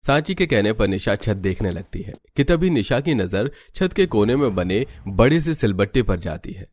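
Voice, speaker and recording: A man's voice: fairly low pitch, big pitch swings, moderate pace, a little mellow. A man reading scripted narration for a cartoon family story.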